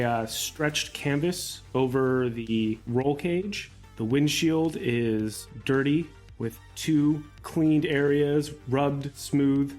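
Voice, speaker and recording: A man describes his tabletop game character in deliberate, short phrases. He takes his time, with a mid-pitched voice and soft guitar music in the background. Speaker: tabletop roleplaying player.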